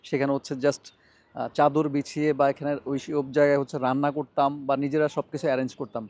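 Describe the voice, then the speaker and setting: A man's voice: fairly high pitch, flat delivery, fast, darkish tone. A man with a Bangladeshi accent narrating a casual vlog informally, dropping English words into his talk. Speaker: vlogger.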